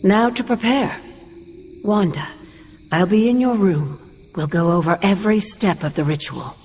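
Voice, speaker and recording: An actress playing a wise, caring sorceress spirit mentor with measured, solemn delivery in a video game cutscene. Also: female voice, medium pitch, darkish tone, a little hiss or hum, lightly acted. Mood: warm, resolute. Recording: music behind the voice.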